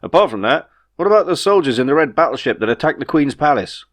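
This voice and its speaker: A man's voice: on the high side, big pitch swings, quick pace, fairly bright tone, voice pushed up. A man voicing an easygoing, know-it-all spaceship pilot in an audiobook, chatty and reassuring. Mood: concerned. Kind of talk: audiobook character dialogue.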